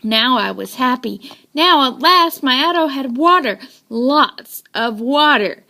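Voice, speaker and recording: A woman reads a children's story aloud with high pitch and a slow, unhurried pace. Her delivery is expressive and dramatic, with intonation that swings widely. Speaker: storybook reader.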